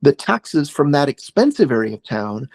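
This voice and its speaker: A man's voice: medium pitch, sing-song, moderate pace, darkish tone, dry recording, clean studio sound. An American man in his forties from Oregon, a podcast guest chatting casually over a video call, rambling with many fillers and restarts. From Oregon, USA. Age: 40s. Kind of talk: casual conversation.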